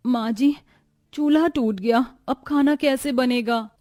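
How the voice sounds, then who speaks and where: Woman as a humble, high-voiced young daughter-in-law. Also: unhurried, no echo. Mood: worried. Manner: polite, earnest, soft-hearted. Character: humble, dutiful poor young daughter-in-law, gentle and patient.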